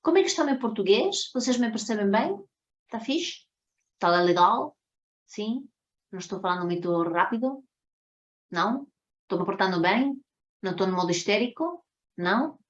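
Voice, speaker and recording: A woman's voice: medium pitch, lively intonation, normal speed, pauses often, muffled recording. A woman from Spain with a Spanish accent, teaching an introductory online workshop in a didactic way, often checking in with her listeners. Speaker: psychotherapy teacher.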